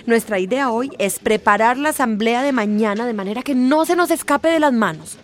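A fussy, domineering woman of the village committee, acted by a woman for radio drama. Her voice sits fairly high. She is scatterbrained yet imperious, giving orders as if everyone must agree. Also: moderate pace, natural voice. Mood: bossy.

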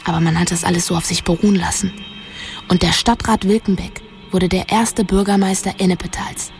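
A woman's voice: on the low side, steady tone, brisk, vocal fry, darkish tone, soft background music. An actress playing a headstrong, secretive young woman in a German radio drama, telling things bluntly and conspiratorially. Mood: conspiratorial storytelling. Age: young adult.

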